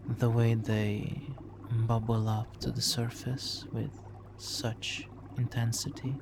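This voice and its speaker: A man playing a smooth-talking supervillain nemesis in an ASMR roleplay, hushed, soothing and coaxing as he hypnotically seduces a captive hero. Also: lowish voice, background noise. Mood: musing, calm. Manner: slow, hushed, soothing, coaxing with soft chuckles. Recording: water trickling behind the voice.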